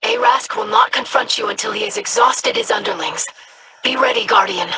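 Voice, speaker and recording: A woman's voice: a little high, moderate pace, heavy background noise, natural voice. An actress voicing an earnest young alien woman in a video game, speaking in formal, precise sentences.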